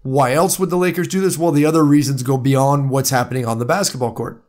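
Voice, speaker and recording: A man hosting a solo podcast, talking straight to his listeners in a conversational way, hoarse from a cold. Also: medium pitch, fairly even intonation, fast, darkish tone. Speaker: podcast host. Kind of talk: solo sports podcast monologue.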